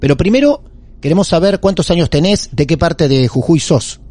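A man's voice: fairly high pitch, lively intonation, normal speed, mellow. A man with an Argentine accent hosting a paranormal podcast, talking in a theatrical, welcoming way and reassuring his caller. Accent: Argentine Spanish. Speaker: podcast host.

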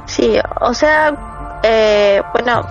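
A woman's voice: fairly high pitch, very slow, soft background music, rough recording. A woman in her thirties from Ecuador, hesitantly telling her story as a guest, with many fillers. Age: 30s. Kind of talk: casual remote interview.

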